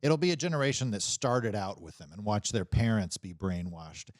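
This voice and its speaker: A man in a casual livestream conversation, talking in a halting, rambling way with some swearing. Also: lowish voice, big pitch swings, dry recording, clean studio sound.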